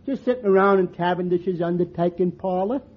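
An actor playing a dim-witted, cheerful barfly in 1940s radio comedy, with a slow, dopey and innocent delivery. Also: male voice, sits high. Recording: lo-fi old radio broadcast with hiss.

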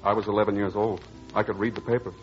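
A man's voice: on the low side, level tone, quick pace, natural voice. An actor playing a breezy, impatient young man in old-time radio drama, boyish and slangy. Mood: probing, reflective. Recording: muffled old radio recording, music behind.

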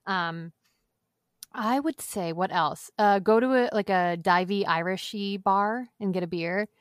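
A woman's voice: mid-range voice, unhurried, clear, resonant tone, no room sound, studio quality. A woman from Massachusetts chatting casually as a podcast guest, hesitant with lots of fillers. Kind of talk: casual chat.

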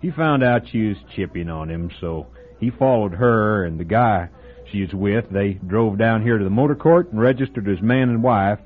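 An actor playing a chatty, folksy small-town diner owner who relishes telling a gruesome local story, in old-time radio drama style. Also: male voice, on the low side, normal speed, lightly acted. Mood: gossipy. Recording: phone-like old radio broadcast with faint music.

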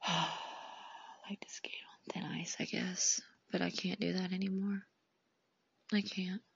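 A woman hosting a podcast, talking in a rambling, heartfelt way and often dropping to a soft whisper. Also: fairly low pitch, flat delivery, a little slow, vocal fry, husky, muffled recording. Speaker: podcast host. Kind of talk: casual reflective monologue.

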